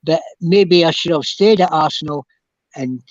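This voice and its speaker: An older man with a Scottish accent, a former footballer chatting about his playing days in a halting, rambling way. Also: fairly high pitch, no room sound, dull audio. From Scotland. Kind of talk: casual chat, reminiscing.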